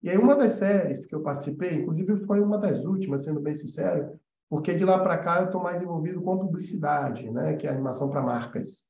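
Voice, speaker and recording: A man's voice: on the high side, a little fast, warm tone. A man with a Brazilian Portuguese accent teaching an informal livestream lesson in a conversational, explanatory way, often checking in with his listeners. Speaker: animation teacher and mentor. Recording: roomy echo, dull muffled sound.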